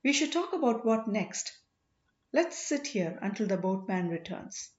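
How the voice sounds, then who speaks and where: A woman's voice: medium pitch, big pitch swings, a little slow. A woman voicing an earnest, courteous, lovestruck young king in exile in an audiobook story. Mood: apologetic. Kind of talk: audiobook character dialogue. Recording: roomy, slightly dull home recording.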